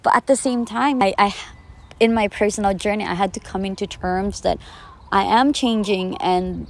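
A woman in her twenties hosts a personal podcast on her own. Her voice sits at a middle pitch for a woman. She talks in an earnest, conversational and reflective way. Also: darkish tone. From the Philippines, later the United States. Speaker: podcast host.

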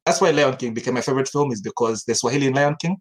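A man's voice: mid-range voice, rapid-fire. A young man from Uganda, a studio co-founder, chatting casually on a podcast with many restarts and easy laughs.

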